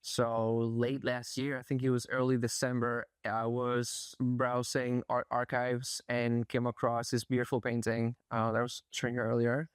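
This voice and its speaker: A young man from Spain, an artist being interviewed, speaking in a soft, thoughtful way with many pauses and fillers. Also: medium pitch, completely flat delivery, clean tone, no room sound, clean studio sound. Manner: soft-spoken, thoughtful, hesitant, frequent fillers. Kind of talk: casual interview. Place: art gallery.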